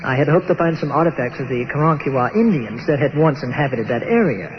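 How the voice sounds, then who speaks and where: An actor playing a courteous, earnest archaeologist from the nineteenth century, stranded on an island, telling his tale formally and haltingly in old time radio style. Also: mid-range voice, expressive, background noise, lightly acted. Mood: dramatic recounting. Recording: muffled radio-show recording with music behind.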